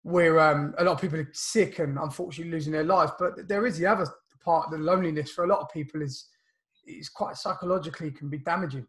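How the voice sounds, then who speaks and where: Croaky thirties male podcast host on a webcam call; casual, filler-heavy, slightly high pitch. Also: fairly even intonation, quick pace, fairly bright tone. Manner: chatty, loose, lots of fillers and restarts. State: croaky voice from illness. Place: home room, indoors. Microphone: video call webcam.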